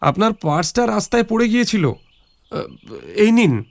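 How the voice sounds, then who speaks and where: An actor playing a shrewd, wry young drifter-sleuth in an audio drama, with a muttering, drawn-out delivery. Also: male voice, sits high, lively intonation, gravelly, dry recording, full character voice. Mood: polite. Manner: muttering, drawn-out, drily amused. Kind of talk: Bengali audio story acting.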